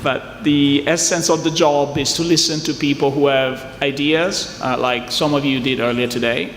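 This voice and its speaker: A man's voice: a little high, steady tone, normal speed, slight background noise. A middle-aged man from southern Italy with an Italian accent, a venture investor chatting and joking with a conference audience. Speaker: venture capital investor on a panel. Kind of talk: conference fireside chat. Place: conference hall. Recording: echoey hall, faint ambience.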